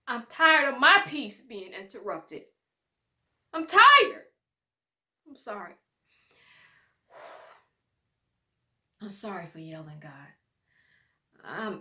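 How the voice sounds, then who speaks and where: Woman acting a grieving, exasperated daughter, slow and forceful. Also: fairly high pitch, echoey room, full character voice. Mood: exasperated, angry, then drained, pleading. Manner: raw, pleading, outspoken. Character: grieving, exhausted, exasperated daughter praying for strength. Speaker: actor in an online dramatic play reading. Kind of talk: emotional dramatic monologue, spoken as a prayer to God.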